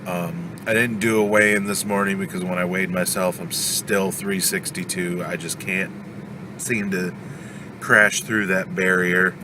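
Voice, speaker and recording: A man's voice: deep voice, level tone, measured pace, noisy background. A man with a stuffed-up cold talking casually in a daily vlog, venting his frustration. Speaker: vlogger.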